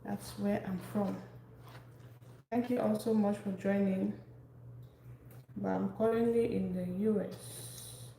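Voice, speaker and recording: Woman, cooking stream host, mellow gravelly voice, greets every viewer by name. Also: medium pitch, fairly even intonation, slow, long pauses. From Cameroon. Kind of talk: casual live-stream chat while cooking. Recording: echoey room, faint background noise.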